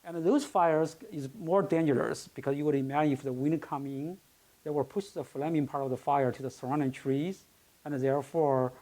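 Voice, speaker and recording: A man giving an expert explanation in an interview, in a careful, halting manner. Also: on the high side, fairly even intonation, mellow, low-quality recording.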